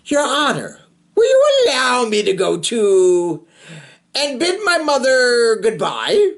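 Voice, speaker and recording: A reader voicing a polite, calm condemned man from a storybook, pleading gently and speaking without hurry. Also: medium pitch, slow pace, creaky, heavily acted. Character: condemned Chinese brother, polite, calm, cheerfully unharmed young man.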